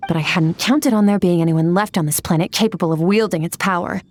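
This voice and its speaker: A woman's voice: lowish voice, fast, darkish tone, close to an everyday voice. A woman playing an aloof, blunt, battle-hardened swordswoman in a video game, detached and matter-of-fact. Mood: detached, grave.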